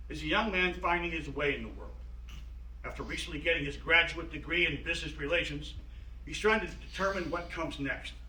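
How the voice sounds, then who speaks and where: Bright-voiced man reading stage directions. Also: a little high. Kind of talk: scripted narration. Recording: roomy, distant mic, audible noise.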